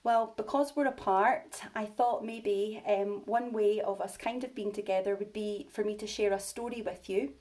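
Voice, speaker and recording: A woman speaking as a primary school teacher, addressing and reading to young children in simple, expressive language. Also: mid-range voice, normal speed, hardly any pauses. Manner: simple, child-directed delivery.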